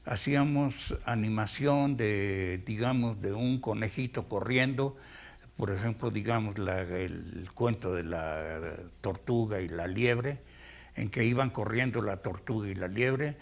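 An elderly man with a Mexican accent recalls his working life in an interview. He is reflective and halting, pausing to search for the next word. He sounds like a veteran telling his story at his own speed. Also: fairly low pitch. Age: 80s. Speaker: veteran animator being interviewed. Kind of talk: reminiscing interview.

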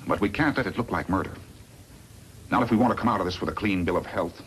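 An actor playing a scheming, sardonic villain boss in a 1950s radio western, insinuating and bossy. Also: male voice, deep voice, fast, natural voice. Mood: sly, calculating. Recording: noisy vintage 1950s radio recording.

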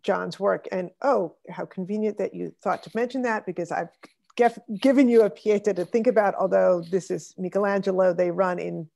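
A woman's voice: medium pitch, moderate pace, creaky, mellow. A woman leading an online art discussion, calling on participants and reflecting on their comments over a video call. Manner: thoughtful, calls on participants by name. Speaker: discussion leader.

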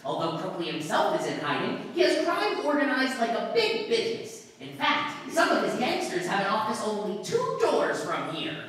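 A woman playing an earnest civic crusader, lively. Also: on the high side, normal speed, few pauses. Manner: formal, earnest. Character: earnest, formal civic committee leader. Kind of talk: live stage recreation of 1930s radio comedy. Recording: echoey room from a far camera.

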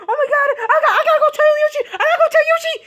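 A woman voicing an excitable young boy who squeals, cries and shouts with joy in a homemade toy skit. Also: very high-pitched, rapid-fire. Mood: overjoyed.

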